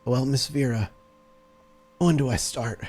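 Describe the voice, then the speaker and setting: A battered, loyal servant in a tabletop horror game , acted by a man. The voice is strained and wheezy, lifted above a low register. He speaks with deference and a faintly jovial politeness despite his pain. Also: unhurried, darkish tone, natural voice.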